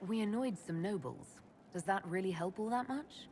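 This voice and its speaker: A woman voices the composed leader of a fantasy game. Her pitch is medium and her manner measured, direct and questioning, with faint noise behind. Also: lively intonation, darkish tone, natural voice. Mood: skeptical. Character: composed, inquisitive female leader and game heroine. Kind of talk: video game dialogue acting.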